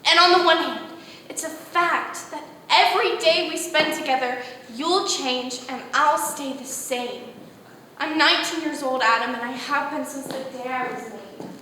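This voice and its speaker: A woman's voice: high-pitched, moderate pace, bright, forceful tone, slight background noise. A woman voicing an articulate, pleading android woman built to look nineteen, heard distant with a long echoey reverb. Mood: earnest. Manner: articulate, pleading, increasingly distraught.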